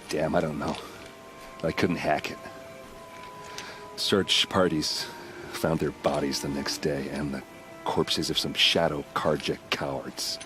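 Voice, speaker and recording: An actor playing a gruff, self-deprecating soldier in a video game, blunt and wry, with a weary manner. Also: male voice, rumbling low. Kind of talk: dramatic video game acting.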